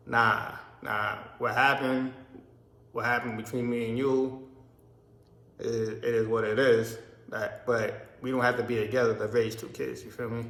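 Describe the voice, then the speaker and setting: Barbados-born man in his 30s, raised in the US, rambling vlog story, monotone, roomy echo. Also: mid-range voice, a little slow, gravelly. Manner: rambling, with many restarts and "I mean". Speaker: vlogger. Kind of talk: casual personal storytelling. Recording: echoey room.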